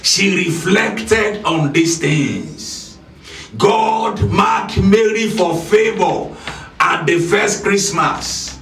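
A middle-aged male pastor preaching slowly and emphatically, repeating key lines and often raising his voice. His voice sits high and sounds bright and tense. It is recorded from across an echoey church hall with faint music behind. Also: gravelly. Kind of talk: sermon. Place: church hall with red curtain. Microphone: handheld mic at a lectern. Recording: echoey hall, filmed from a distance.

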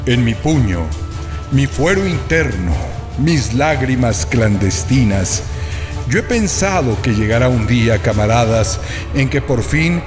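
A man's voice: animated, measured pace. A man giving a dramatic, declamatory reading of a literary speech. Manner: declamatory, rhetorical, shifting pitch for emphasis. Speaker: reader. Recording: reading over music.

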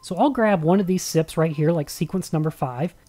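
Female tech instructor, deep register, slightly soft-edged tone, conversational step-by-step screencast delivery. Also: brisk, soft background music. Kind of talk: screen-recorded tech tutorial narration.

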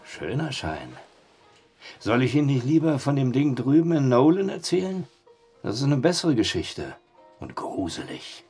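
An actor playing a reluctant, money-minded small-town storyteller in a radio drama, with a folksy manner. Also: male voice, medium pitch, big pitch swings, normal speed, darkish tone, slightly rough, faint music behind. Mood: bargaining.

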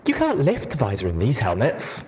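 A man's voice: mid-range voice, wildly swooping pitch, brisk, darkish tone, big-room sound, voice pushed up. A man voicing an earnest, scoffing young pony mare who is an armored knight in a story reading.

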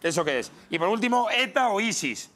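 A man's voice: high voice, sing-song. A young Spanish man doing a playful, teasing comic street interview at night, holding a handheld mic. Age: young adult. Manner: playful, teasing, quick asides. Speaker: street reporter. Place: busy city street at night, outdoors. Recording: street crowd and traffic noise.

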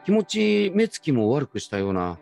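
A man's voice: a little high, huge pitch swings, moderate pace, vocal fry, a little mellow, faint music behind, voice pushed up, exaggerated voice. A man playing a puzzled grown son, an ordinary working man, in a radio drama. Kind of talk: radio drama acting in Japanese.

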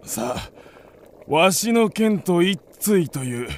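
Casting: haughty old tyrant king for radio drama, very slow and swooping, creaky grain. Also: high voice, expressive, a little hiss or hum. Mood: haughty, demanding. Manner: haughty, archaic lordly speech. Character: imperious, greedy, suspicious old king of ancient China. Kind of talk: Japanese radio drama acting.

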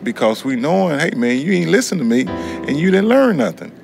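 A man's voice: fairly high pitch, big pitch swings, brisk, vocal fry. A middle-aged American man talks earnestly and colloquially in an interview as a student. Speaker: prison education student. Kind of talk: candid interview talk. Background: acoustic guitar music.

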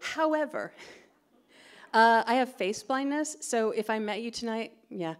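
A woman's voice: a little high, animated, a little slow, clear, resonant tone. Middle-aged american woman, stand-up set on a theatre stage, chatty and giggly, pokes fun at herself. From California. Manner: chatty, giggly, self-deprecating. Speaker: stand-up comedian. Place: theatre stage before a live audience. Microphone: stand microphone.

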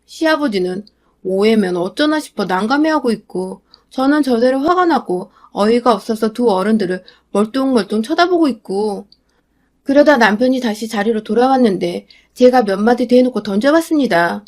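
A young adult woman reading a scripted first-person radio story in a steady, confiding way. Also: fairly high pitch, moderate pace.

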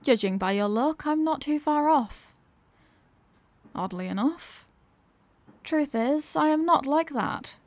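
A woman's voice: sits high, lively intonation, slow pace, long pauses, darkish tone, dry recording. Woman playing a condescending villainess, close and dry. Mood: teasing, scornful. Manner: condescending, teasing, theatrical. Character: dominant, mocking female villain, secretly idealistic. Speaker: ASMR roleplay voice actor. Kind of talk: ASMR roleplay monologue.

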